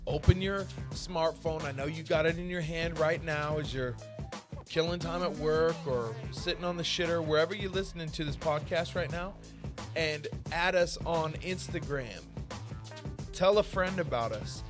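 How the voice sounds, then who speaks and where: A male podcast host with a slightly higher voice, rambling through slangy hype-man plugs. Music plays behind his loose, joking outro. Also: moderate pace.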